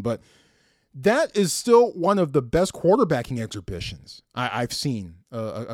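A man's voice: mid-range voice, a little mellow, dry recording, clean studio sound. A middle-aged man hosting a sports podcast, telling game stories with enthusiastic, emphatic, conversational commentary.

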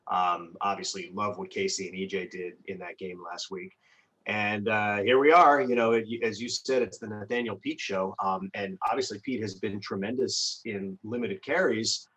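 A man's voice: on the low side, a bit bright, slightly rough, low-quality recording. An American man who works as a radio sports announcer, giving conversational analysis in an emphatic, discursive way, thinking aloud as he goes.

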